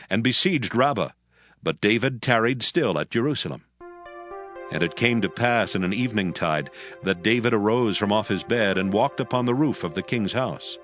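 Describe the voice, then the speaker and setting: A man narrates scripture in a measured, solemn way with a fairly low voice. A clear music bed runs beneath his reading throughout. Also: a bit bright, no room sound.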